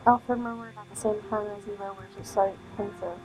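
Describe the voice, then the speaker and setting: A woman streaming a visual novel, reading the text aloud with halting delivery and casual commentary. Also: medium pitch, steady tone, low-quality recording. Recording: music playing behind the voice. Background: music.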